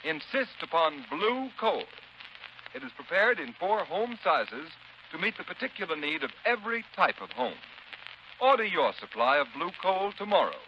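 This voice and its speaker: A man's voice: on the high side, big pitch swings, moderate pace. A man announcing a scripted 1930s radio commercial, formal and emphatic with careful enunciation. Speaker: radio announcer and sponsor pitchman. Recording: muffled, hissy 1930s radio recording.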